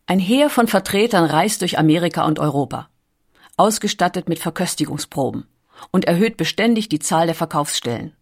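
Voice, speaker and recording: Low-pitched woman narrating a documentary. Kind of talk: scripted documentary audiobook narration. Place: recording studio.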